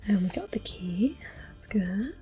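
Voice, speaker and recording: Woman, soft breathy ASMR whisper, unhurried pace, gentle game commentary, faint music behind. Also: medium pitch, sing-song. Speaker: gameplay host.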